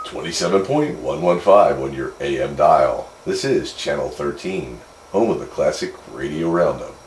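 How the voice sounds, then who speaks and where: Deep voiced guy hosting a cb radio chat, matter of fact, repeats words a bit, echoey. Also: expressive, normal speed. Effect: CB radio transmission. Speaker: host of a radio swap meet session. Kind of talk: casual two-way radio chat. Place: voice heard over CB radio with faint noise. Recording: roomy, faintly noisy AM CB radio.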